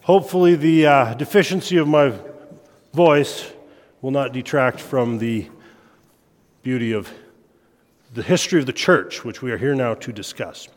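A man with a head cold giving an informal lecture at a midweek church meeting, relaxed and given to self-deprecating jokes. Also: medium pitch, normal speed, pauses often. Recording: long hall reverb.

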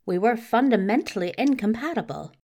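Woman as a high-voiced, prim, weary bookish prince. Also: expressive, a little fast, darkish tone. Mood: indignant. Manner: prim, wordy, testy British phrasing. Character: weary, bookish, put-upon prince who wants his rest. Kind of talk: multivoice fan audio drama.

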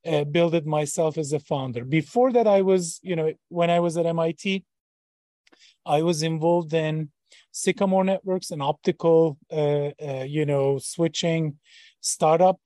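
A man's voice: fairly high pitch, a little slow, dark tone, dry recording. A man in his forties from Turkey, a startup founder and professor, answering interview questions in a hesitant way with many fillers and restarts. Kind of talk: interview guest.

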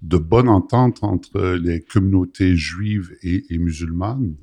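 A man's voice: deep voice, takes it slowly, warm tone. A man hosting a radio talk show, greeting listeners and questioning his guest. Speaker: radio host. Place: radio studio.

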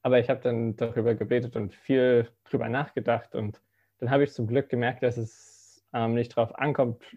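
A man's voice: medium pitch, level tone, normal speed. Young guy from the philippines telling his faith story, hesitant, lots of ums, kinda dull lo-fi audio. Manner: hesitant, many fillers, soft-spoken. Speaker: student ministry intern giving a testimony. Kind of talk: personal testimony talk.

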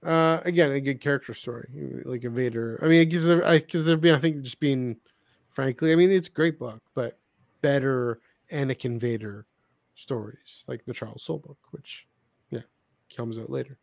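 A man's voice: mid-range voice, gravelly, no room sound, clean studio sound. A man co-hosting a podcast in casual discussion, rambling and often cutting into his own sentences. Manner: halting, self-interrupting, rambling. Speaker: podcast co-host. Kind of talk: casual discussion of franchise books and comics.

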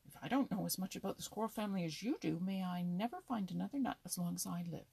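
A woman voicing an argumentative male red squirrel from a storybook, sharp and scolding in manner. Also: on the low side, quick pace, vocal fry, husky, dry recording. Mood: scolding, curious.

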